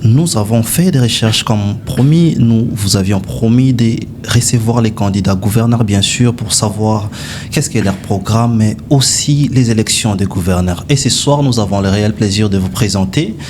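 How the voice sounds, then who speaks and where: A man with a fairly low voice presents a TV interview in a formal presenter's manner and asks pointed questions. Steady background noise runs under his voice. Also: normal speed. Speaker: TV journalist host. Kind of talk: TV interview hosting.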